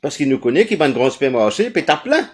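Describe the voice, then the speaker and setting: A Mauritian man with a mid-pitched voice talks earnestly on an online video panel. His pace shifts often, rushing through some phrases and dragging out others. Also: very animated. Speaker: panel speaker. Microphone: video call.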